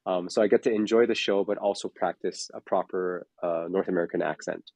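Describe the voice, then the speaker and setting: A man's voice: deep voice, fairly even intonation, fairly quick, vocal fry, darkish tone, no room sound. A man in his forties, a fitness coach, talking in a casual chat, rambling with lots of fillers and restarts. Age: 40s.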